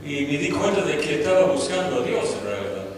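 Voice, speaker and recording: An older man, a native English speaker from Australia, gives a personal testimony as a guest preacher in a second language, deliberately and with searches for words, pausing for an interpreter. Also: a little high, very animated. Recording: echoey hall, distant mic, noisy.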